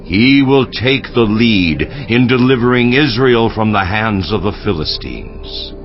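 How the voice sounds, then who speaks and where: A solemn, deep-voiced commanding angel. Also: male voice, lively intonation, dull audio. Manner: slow, stately, deliberate. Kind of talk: dramatized scripture reading. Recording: band-limited sound with music. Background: background music.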